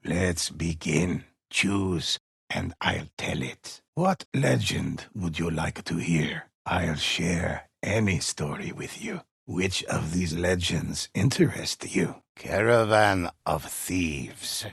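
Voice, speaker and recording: An actor playing an older, solemn but inviting storyteller who recounts ghostly samurai legends in a theatrical, gravelly, husky voice for a video game. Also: male voice, low voice, lively intonation, a bit bright, dry recording, clean studio sound, full character voice. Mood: inviting, measured. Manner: measured, theatrical, savoring words. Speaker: storyteller narrator. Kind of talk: dramatic scripted tale-telling.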